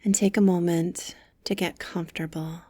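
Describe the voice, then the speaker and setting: A woman leading a guided meditation with soft, gentle, soothing instructions, breathy and often close to a whisper. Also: lowish voice, fairly even intonation, moderate pace, no echo.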